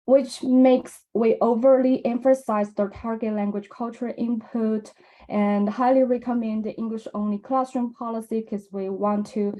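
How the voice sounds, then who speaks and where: A young woman from mainland China with a Chinese accent, giving a measured academic conference presentation with frequent ums and uhs. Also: mid-range voice, warm tone, rough recording. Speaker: presenter at a research symposium.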